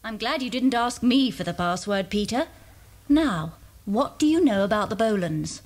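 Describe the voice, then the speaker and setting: A woman's voice: mid-range voice, expressive, moderate pace, lightly acted. A woman playing a kind, sensible mother with an English accent in a children's audio drama. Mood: helpful, organising.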